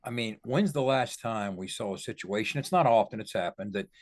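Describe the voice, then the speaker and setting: A man's voice: on the low side, brisk, a little mellow. A man giving conversational football analysis on a podcast, making his points emphatically.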